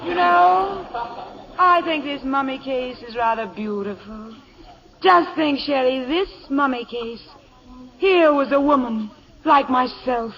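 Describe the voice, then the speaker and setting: High-voiced, gushing theatrical diva. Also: female voice, slow pace, crowd noise. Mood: melodramatic. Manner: gushing, melodramatic, affected. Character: vain, affected, theatrical stage actress, gushing diva. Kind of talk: 1950s radio comedy acting. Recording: muffled old radio broadcast, hall reverb.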